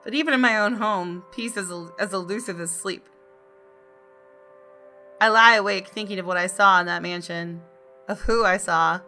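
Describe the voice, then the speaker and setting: Bright-voiced woman reading game narration. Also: mid-range voice, normal speed, pauses often, faint music behind. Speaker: gaming YouTuber. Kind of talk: dramatic read-aloud of game narration with casual asides.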